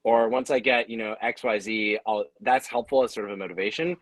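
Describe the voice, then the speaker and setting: A man's voice: lowish voice, fairly quick, dry recording. A man giving casual, encouraging explanations as a panelist, in a conversational way. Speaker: podcaster and audio creator, panelist.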